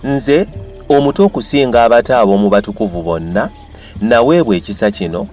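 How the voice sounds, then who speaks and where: A man's voice: lowish voice, a little mellow. A man with a Ugandan accent giving a dramatized reading of scripture as a Bible reader. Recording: music behind the voice. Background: music.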